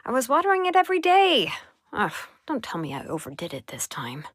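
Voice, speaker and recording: Woman playing a lively, caring, awkward toolsmith. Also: on the high side, brisk, dry recording, studio quality. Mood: dismayed, weary. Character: caring, busy, slightly awkward craftswoman who forges tools. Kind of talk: game character audition acting.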